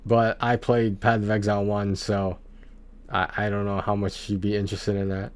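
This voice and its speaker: A man's voice: lowish voice, monotone, normal speed, clean tone, no room sound. A man livestreaming about video games in a casual, rambling, conversational way, speaking to his viewers on a close mic.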